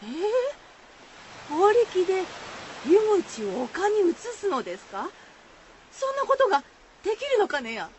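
An actress playing a troubled village shellfish diver in a folktale radio drama, earnest and humble, speaking rural Shizuoka Izu dialect. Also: female voice, very high-pitched, huge pitch swings, slow pace, long pauses, full character voice. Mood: astonished, doubtful. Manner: humble, earnest rustic speech. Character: troubled, plain-spoken village woman who dives for shellfish. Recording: faint background noise.

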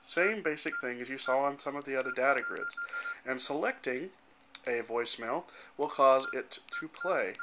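A man in his thirties giving an informal, matter-of-fact demonstration as a software developer, explaining things step by step into a handheld camera. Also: mid-range voice, moderate pace, noisy background. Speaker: software developer presenting a demo. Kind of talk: informal product demonstration narration. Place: indoor room at home. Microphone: handheld camera microphone.

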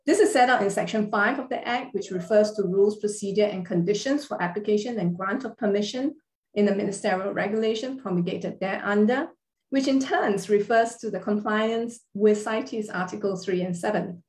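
A woman lectures over a video call, at a middling pitch and a measured pace, explaining legal points step by step. Her voice carries a roomy echo from a small room. She pauses now and then with small fillers as she reads through her slides. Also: a little mellow. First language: not Thai.

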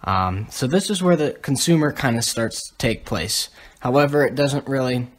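A man's voice: medium pitch, lively intonation, normal speed. A young man co-hosting a podcast, explaining history in a casual, lecturing way with many ums. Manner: lecturing, lists items, frequent ums. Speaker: podcast co-host.

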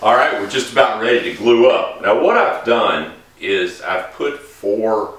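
A middle-aged man explaining woodworking steps in a relaxed, casual way while he works in a large indoor workshop. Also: lowish voice, unhurried, background noise. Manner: relaxed, explains each step while working. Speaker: woodworking instructor. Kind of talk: casual demonstration while working. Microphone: camera mic from a distance. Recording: echoey workshop, distant mic.

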